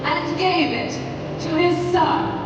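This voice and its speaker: A woman performing a dramatic, deliberate storytelling narration at a theme-park stage, recorded from the audience on a phone. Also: extremely high pitch, lo-fi sound, heavy background noise. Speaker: show narrator. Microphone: phone camera from the audience. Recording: echoey distant theme-park crowd recording with music. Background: loud music.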